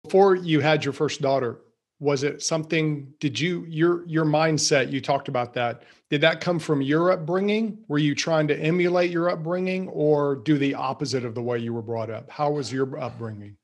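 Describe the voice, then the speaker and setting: Man, interviewer, higher-pitched, encouraging "okay, yeah" listener. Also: normal speed. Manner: affirming, frequent "yeah" and "okay", uses "y'all". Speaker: interview host. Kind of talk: conversational interview.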